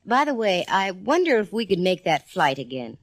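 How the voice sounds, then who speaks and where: An American actress playing a sassy, quick-witted female private eye in a 1940s radio detective drama. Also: mid-range voice, very animated. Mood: brisk, wry. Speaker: lead actress and narrator. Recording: vintage radio drama recording with music.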